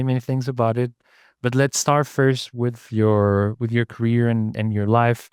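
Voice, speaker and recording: A man's voice: medium pitch, clean tone, no room sound, studio quality. A man hosting an interview, asking long questions eagerly and repeating words as he forms them. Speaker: podcast host interviewer.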